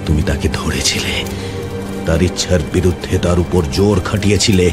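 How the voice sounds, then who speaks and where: A man playing a cold, relentless tormentor in an audio drama, accusing and taunting with deliberate, insistent delivery. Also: low voice, loud music behind the voice, close to an everyday voice. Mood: relentless, menacing. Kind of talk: audio drama acting, Bengali mixed with English.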